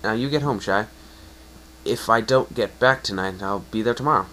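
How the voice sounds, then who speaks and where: A man's voice: fairly low pitch, moderate pace, fairly bright tone, a little hiss or hum, close to an everyday voice, rough recording. Man playing a friendly, easygoing young stallion. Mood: reassuring. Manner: friendly, reassuring. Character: easygoing, considerate young male pegasus pony.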